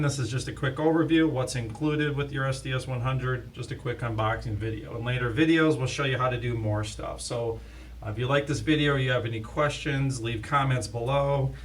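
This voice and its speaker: Man presenting casually, away from the mic. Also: medium pitch. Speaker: host, product expert. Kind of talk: casual unscripted product demonstration. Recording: distant mic, faint background noise.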